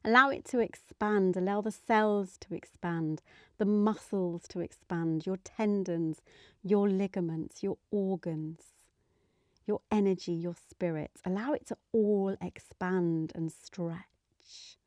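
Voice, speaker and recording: A woman leading a guided meditation in a soothing, gentle manner, letting her phrases trail off softly. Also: medium pitch, steady tone, slow pace, no echo, studio quality.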